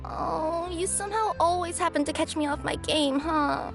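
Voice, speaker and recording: A woman's voice: very high-pitched, normal speed, vocal fry. A woman voicing a young cat yokai courier, bubbly, sheepish and apologetic, in a video game. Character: young female cat yokai courier with two tails, cheerful and flustered. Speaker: delivery courier character. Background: game music.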